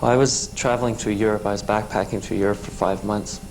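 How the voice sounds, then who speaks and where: A man in his twenties with a Canadian accent, a talk show guest telling his story haltingly, with many restarts. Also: fairly low pitch, fairly even intonation, slight background noise, poor audio. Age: 20s.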